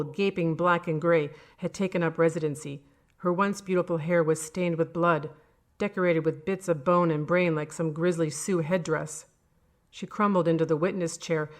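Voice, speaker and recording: Woman narrator for horror audiobook, low register, scripted storytelling delivery.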